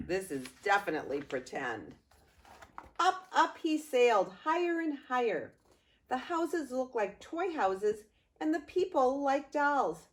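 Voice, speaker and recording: An older woman reading a picture book aloud to young grandchildren, expressively, with playful asides to the listeners. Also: sits high. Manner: expressive, unhurried, addressing small children.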